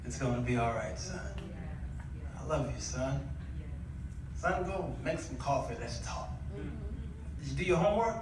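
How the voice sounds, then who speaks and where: An older man giving a conversational storytelling sermon with dramatic pauses and homely acted-out dialogue. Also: mid-range voice, expressive. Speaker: guest preacher. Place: church, picked up from a distance. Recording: echoey room, distant mic, noisy background.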